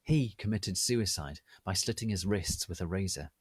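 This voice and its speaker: A man narrating fan fiction as a theatrical, deliberate dramatic reading. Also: deep voice, husky, dry recording.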